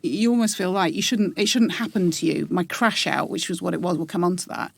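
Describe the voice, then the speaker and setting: A woman in her forties, a podcast guest, talking in a reflective, halting way with many pauses and restarts. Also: fairly low pitch, steady tone, gravelly, husky, no room sound. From England. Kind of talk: casual interview.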